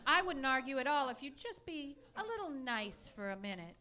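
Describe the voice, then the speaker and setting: An actress playing a nagging, scatterbrained wife in a live radio comedy, plaintive, insistent and obliviously literal. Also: female voice, high-pitched, sing-song, normal speed, background noise. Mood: coaxing. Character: nagging, needling, scatterbrained wife quarrelling at night. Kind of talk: live old-time radio comedy acting before an audience. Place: live theatre stage.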